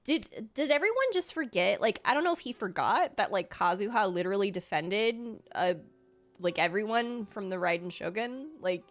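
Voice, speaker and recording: A woman game streamer giving casual, expressive live reaction commentary. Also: medium pitch, moderate pace, soft background music.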